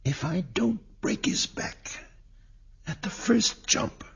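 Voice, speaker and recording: Little male mongoose hero, keyed-up and fearful, high voice, breathless. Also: sing-song, takes it slowly, gravelly, breathy edge, whispered, lo-fi sound, full character voice. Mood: anxious, plotting. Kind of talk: 1940s radio acting.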